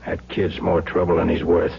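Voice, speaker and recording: An actor playing a gruff, suspicious crime boss in a radio drama, curt and grumbling. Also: male voice, low-pitched, level tone, normal speed, slight background noise. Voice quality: gravelly. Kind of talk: 1970s radio drama acting. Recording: dull, humming vintage radio recording.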